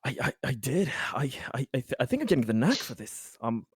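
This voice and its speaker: A man playing a tabletop roleplaying game, speaking casually and haltingly, often stammering and repeating words. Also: medium pitch, sing-song, husky, no room sound, studio quality.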